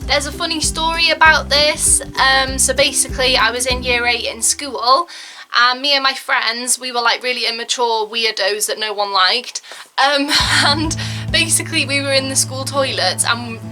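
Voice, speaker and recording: A chatty young woman talks straight to camera in a slightly high voice, filling her talk with "like" and "um". Now and then she acts out what others said. Music plays clearly behind her. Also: fairly even intonation, normal speed. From Wales. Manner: chatty, frequent "like" and "um", acts out quotes. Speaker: vlogger. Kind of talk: casual chat to camera.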